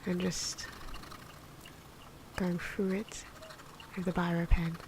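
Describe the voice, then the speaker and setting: A soft-spoken woman giving ASMR drawing commentary, often slipping into a breathy whisper, with hesitant, unhurried phrasing. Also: on the low side, level tone. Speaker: ASMR video creator narrating own drawing. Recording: noisy, pencil scratching and ambient sound. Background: pencil and pen noises, outdoor wind.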